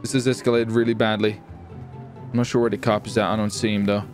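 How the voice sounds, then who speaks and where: A man chatting casually as he plays a roleplay game, excitable, swearing freely and repeating his phrases. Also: lowish voice, fast, faint music behind. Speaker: video host and roleplay gamer. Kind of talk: casual chat while playing a crime roleplay game.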